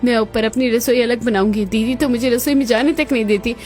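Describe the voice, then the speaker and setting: A woman's voice: fairly high pitch, steady tone, fairly quick, a little mellow, faint music behind, natural voice. A woman voicing a young, village-raised daughter-in-law in a cartoon, earnest and insistent in her speech. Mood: indignant.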